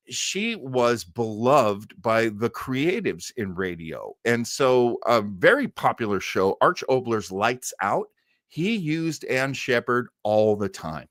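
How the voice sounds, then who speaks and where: A man's voice: medium pitch, sing-song, slow, a bit bright, no room sound, clean studio sound. A man hosting a live stream about vintage radio, chatting casually and enthusiastically in a loose, rambling way. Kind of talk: casual informal commentary, reading live comments.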